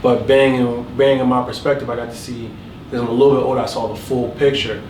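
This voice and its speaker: A man of ordinary pitch speaks in a measured, reflective way in a casual podcast chat. He sounds far from the mic in a room with echo. Steady background noise is clearly audible.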